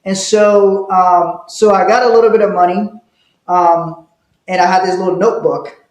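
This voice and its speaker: A man's voice: high voice, flat delivery, normal speed, a little mellow. A middle-aged man from Liberia, a guest telling stories in a casual interview and laughing now and then. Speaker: guest, wealth manager. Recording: roomy echo.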